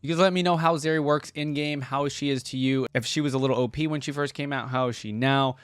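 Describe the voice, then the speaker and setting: A man's voice: medium pitch, steady tone, fast talker, clear, resonant tone, no echo. Casual man reacting as he watches. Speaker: reaction video host. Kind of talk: casual commentary while watching game videos.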